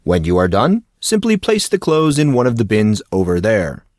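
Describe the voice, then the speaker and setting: A man's voice: fairly low pitch, wildly swooping pitch, normal speed, a little mellow, no echo, studio quality. A man doing a grandly formal eccentric coach. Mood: grandly polite. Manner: stiff, courtly phrasing.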